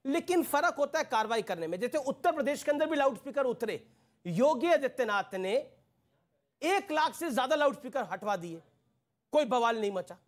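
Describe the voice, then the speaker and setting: A man's voice: extremely high pitch, lively intonation, moderate pace. A man hosts a live TV debate. He is insistent, repeating questions and instructions to keep control of the discussion. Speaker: TV debate host.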